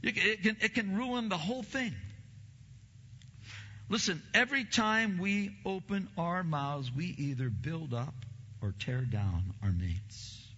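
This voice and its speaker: A male pastor delivers a sermon with a big, forceful, slightly high-set voice. He swings between low, slow emphasis and loud, emphatic peaks. The sound is lo-fi and washed in the long reverb of a church hall. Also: animated, husky.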